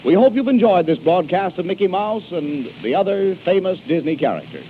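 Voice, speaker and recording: A man's voice: sits high, big pitch swings, fairly quick, a little hiss or hum. A man working as a radio announcer, reading scripted copy in a 1930s broadcast style. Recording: tinny 1930s radio broadcast, loud music. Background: loud music.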